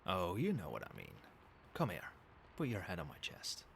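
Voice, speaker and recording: A man playing a doting yet controlling, quietly menacing boyfriend in a soft-spoken ASMR roleplay, coaxing tenderly with pet names. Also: low-pitched, moderate pace, vocal fry, heavily acted. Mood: soothing, doting. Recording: steady background noise, night ambience. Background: rain and cricket sounds.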